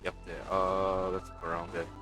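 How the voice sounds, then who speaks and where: A man's voice: low voice, monotone, normal speed. A man giving casual let's play commentary as he streams a game. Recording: streamer mic over game music. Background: game music.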